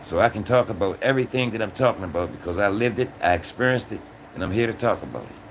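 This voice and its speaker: A man's voice: lowish voice, expressive, fast, slight background noise, rough recording. A man in his sixties talking casually to his livestream chat, earnest and repeating his points, often ending phrases with "man". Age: 60s. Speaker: livestream host.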